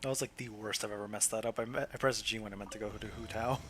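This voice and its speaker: A man's voice: fairly low pitch, fairly even intonation, quick pace, noisy background. A young man giving casual, chatty commentary over his gameplay. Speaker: gaming video creator.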